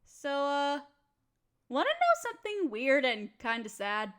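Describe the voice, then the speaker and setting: A woman plays a young female roommate cooped up at home in a casual audio drama. Her voice is high, and she is bored and restless. She chats in slang with a dramatic, playful grumble, speaking straight to the listener. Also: wildly swooping pitch, a little slow, a bit bright, full character voice. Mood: chatty. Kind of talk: casual scripted roleplay audio, talking to the listener.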